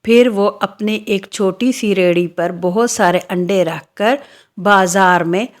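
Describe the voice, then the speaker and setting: A woman's voice: medium pitch, slow. A woman narrating a scripted children's story.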